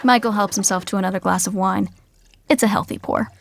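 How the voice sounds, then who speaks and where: A woman narrating a comedy podcast in a dry, deadpan way. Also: medium pitch, fairly quick, creaky, slight background noise.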